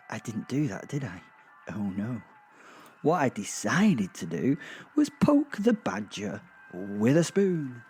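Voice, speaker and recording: A man playing a sardonic, awkward werewolf who narrates in a halting, self-mocking way with theatrical emphasis, in a horror-comedy audio drama. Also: medium pitch, dark tone, exaggerated voice. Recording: clear background ambient noise.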